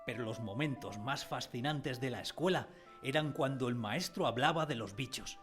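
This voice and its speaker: A man playing a narrator who fondly recalls his village schooldays, in expressive scripted radio drama storytelling. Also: mid-range voice, moderate pace, over music, lightly acted. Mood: absorbed, wondering.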